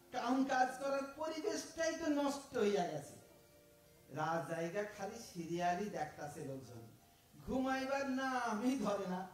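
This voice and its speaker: A man acting an aging, cheeky thief in an audio drama, with an East Bengali dialect and a folksy, mock-polite, talkative manner. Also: very high-pitched. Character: aging male thief, cheeky, philosophizing, self-styled honest. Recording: echoey room, far from the mic.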